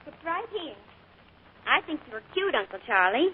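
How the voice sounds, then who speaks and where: An eager young niece, high-voiced. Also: female voice, big pitch swings, moderate pace. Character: earnest adoring young niece. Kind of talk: 1940s radio acting. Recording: tinny old radio broadcast with faint hiss.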